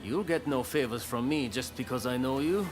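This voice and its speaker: An actor playing a genial but harried samurai overseer, polite and a little hesitant, in a game cutscene. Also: male voice, medium pitch, expressive, normal speed, over music, natural voice. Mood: relieved. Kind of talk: scripted game cutscene acting.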